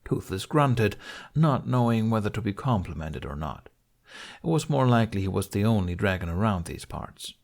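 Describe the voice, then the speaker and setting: A man's voice: on the low side, big pitch swings, normal speed, gravelly, darkish tone. A man reading a scripted fantasy story as an audiobook narrator.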